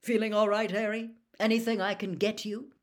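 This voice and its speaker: A woman narrator puts on a middle-aged male official from a storybook. He is loud, jovial and hearty. He talks eagerly and chummily, like a conspirator offering favours. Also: medium pitch, flat delivery, normal speed, natural voice. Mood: chummy, coaxing. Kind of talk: audiobook character acting.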